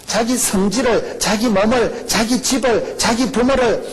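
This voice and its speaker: A middle-aged male pastor with a Gyeongsang accent, preaching a lively, joking sermon full of rhetorical questions. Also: very high-pitched, measured pace, a little hiss or hum, poor audio. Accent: Gyeongsang Korean. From rural South Korea. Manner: animated, jokey preaching with rhetorical questions and laughs.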